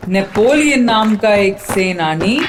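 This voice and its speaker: A woman's voice: medium pitch, animated, slow pace, music in the background. A woman performing as a dramatic, declamatory storyteller of the French Revolution in a rhyming radio play. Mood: dramatic, solemn.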